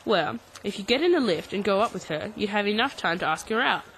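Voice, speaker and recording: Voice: medium pitch, lively intonation, quick pace, a bit bright, slight background noise, low-quality recording. An actor playing a practical, encouraging teenage boy in a radio drama, giving short, matter-of-fact, prodding replies. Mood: reluctant, practical. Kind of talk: radio drama acting for English learners.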